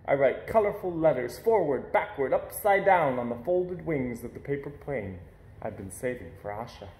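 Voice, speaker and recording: A man reading a children's picture book aloud in an expressive storytelling style. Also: mid-range voice, moderate pace, roomy sound.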